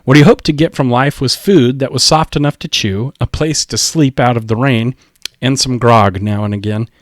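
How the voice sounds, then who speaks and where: Male audiobook narrator, mid-range voice, expressive scripted storytelling, close dry recording. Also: mid-range voice, moderate pace, dry recording.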